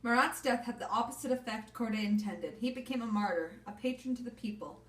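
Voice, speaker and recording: A woman's voice: a little high, brisk. A woman reading scripted history narration as the narrator of a puppet show.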